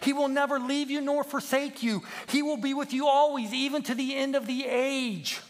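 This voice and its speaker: A man voicing an earnest, emotional little lamb who pleads in a high, strained, preachy way, as in a children's story. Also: moderate pace, a bit bright. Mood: excited urging. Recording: long echoing hall reverb.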